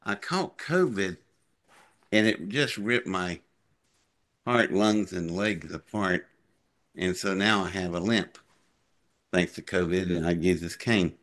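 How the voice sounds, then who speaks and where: A man's voice: sits low, slow pace, long pauses, fairly bright tone. An older man, a guest on a video call, telling anecdotes in a rambling, matter-of-fact way. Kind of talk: casual chat, telling anecdotes. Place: living room on a sofa, on a video call. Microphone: device mic at some distance, video call.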